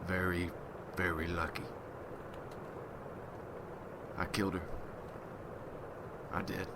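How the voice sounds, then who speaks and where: A man acts out a vengeful vampire hunter in an ASMR roleplay, with a very low voice and a slow, measured delivery. He is grim and solemn, brooding over every phrase. Loud ambient noise runs behind him. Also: flat delivery. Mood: bitter, brooding. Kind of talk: ASMR roleplay, dramatic monologue.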